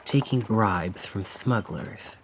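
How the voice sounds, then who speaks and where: Man acting a slow, sly, vengeful carriage driver. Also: lowish voice, noisy background. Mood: cold, menacing. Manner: slow, sardonic, conspiratorial, taunting. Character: vengeful male carriage driver, sly, mocking, coldly controlled. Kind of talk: ASMR roleplay audio drama monologue.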